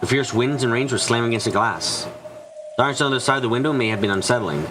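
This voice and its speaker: A man's voice: medium pitch, fast, background noise, close to an everyday voice. A man voicing an anxious, frightened narrator trapped and desperate, in a first-person visual novel fan dub. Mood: uneasy, brooding. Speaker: voice actor narrating.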